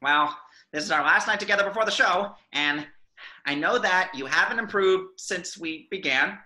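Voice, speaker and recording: A man's voice: high-pitched, normal speed, pushed and bright, reverberant. A man voicing a gloomy, exasperated squid band leader in a cartoon table read, whiny and melodramatic. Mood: weary.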